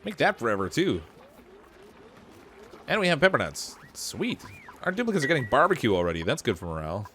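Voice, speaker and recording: A man streaming a game live, thinking aloud and answering chat, his voice still lower than usual from a cold, with frequent laughs. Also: big pitch swings, brisk, long pauses, a bit bright, slight background noise. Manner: chatty, laughs often, thinks aloud with restarts. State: voice lower from getting over a cold. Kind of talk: live gameplay commentary.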